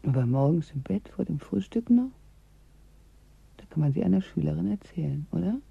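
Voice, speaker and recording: An actress playing an earnest, gently ironic climatology student in a radio drama, speaking softly and reflectively. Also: female voice, deep voice, animated, moderate pace, vocal fry, slightly rough, dull audio, heavily acted. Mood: drowsy, teasing. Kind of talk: German radio drama acting, naturalistic dialogue.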